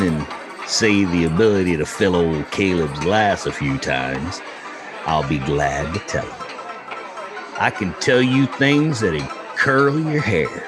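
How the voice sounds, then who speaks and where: A man's voice: low-pitched, heavily acted. A man voicing a cantankerous old Wild West storyteller with a wheezy, folksy delivery, in an audiobook reading. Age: older. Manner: folksy, gruff, drawn-out yarn-spinning.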